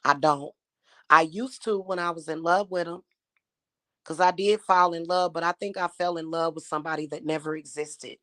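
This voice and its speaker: A woman in her thirties, a spiritual YouTuber talking casually to her viewers, her voice slightly hoarse and her talk aggrieved and repetitive. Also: sits low, fairly bright tone, no echo. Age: 30s. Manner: aggrieved and repetitive, with frequent fillers.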